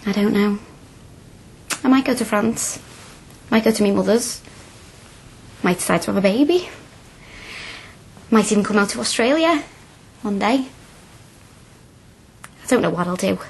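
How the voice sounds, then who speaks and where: A woman's voice: medium pitch, a little slow, gravelly, pauses often, husky. A woman playing a frank, earnest young working-class student in a radio drama, plain-spoken and colloquial. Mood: quietly assured.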